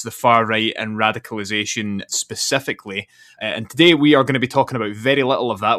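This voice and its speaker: A man's voice: on the low side, a bit bright, dry recording, clean studio sound. A man hosting a podcast interview, talking at length and filling gaps with "uh" and "you know". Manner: talkative, explains at length with fillers. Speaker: podcast host.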